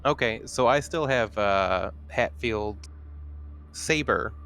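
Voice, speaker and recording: A man's voice: fairly low pitch, slow pace, a bit bright. A man talking casually as a player in a tabletop role-playing game. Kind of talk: casual chat during a game.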